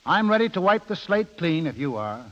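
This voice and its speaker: A wronged, plain-spoken middle-aged husband in a 1930s radio drama. His voice sits a little high for a man and moves expressively, with terse, determined delivery. The old broadcast sound is dull and lo-fi. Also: sing-song, moderate pace, a bit bright. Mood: firm, confronting.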